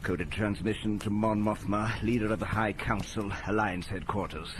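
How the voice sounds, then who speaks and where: An actor playing an embattled planetary governor in an audio drama, delivering a grave, dramatic official message. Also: male voice, fairly low pitch, steady tone, natural voice. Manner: slow, dramatic official announcement. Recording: noisy transmission-like ambience.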